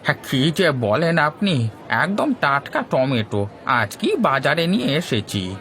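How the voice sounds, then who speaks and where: Man acting a high, bright cartoon market vendor. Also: very animated, a little slow, heavily acted. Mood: eager, reassuring. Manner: salesmanlike, eager to please. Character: eager, persuasive male village market vendor.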